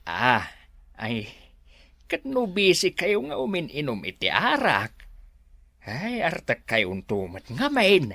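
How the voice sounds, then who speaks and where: A man's voice: on the high side, huge pitch swings, slow pace, vocal fry, sharp tone, heavily acted. A man playing a fawning, eager underling who reports to a boss in a radio drama. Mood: fawning, excited.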